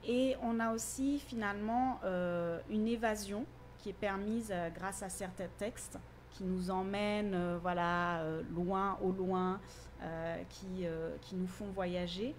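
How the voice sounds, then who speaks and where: A woman teacher giving measured, articulate explanations in a radio interview. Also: mid-range voice, fairly even intonation, a little hiss or hum. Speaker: university teacher.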